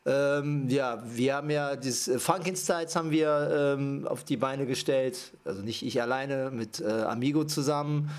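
A man's voice: medium pitch, normal speed, few pauses. A man from Japan, a dancer and choreographer, talking as a podcast guest, hesitant with many fillers. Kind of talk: casual interview talk.

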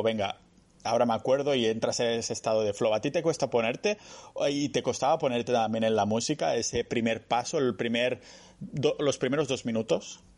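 A man of middling pitch hosts a relaxed conversation. He asks long, winding questions in a friendly, informal way, with small fillers along the way. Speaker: podcast host. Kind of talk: casual interview chat.

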